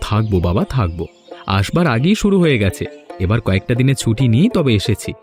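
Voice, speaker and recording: A man's voice: medium pitch, sing-song, fast talker, a little mellow, faint music behind, lightly acted. A man playing a cheerful, devoted young student son in a cartoon, eager and affectionate. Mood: happy, affectionate. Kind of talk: Bengali fairy tale cartoon dubbing.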